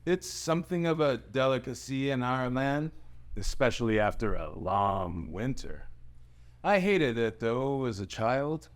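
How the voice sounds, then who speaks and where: A man's voice: mid-range voice, very animated, a little slow. An actor playing a polite, wry dragon nobleman in a fantasy screenplay table read.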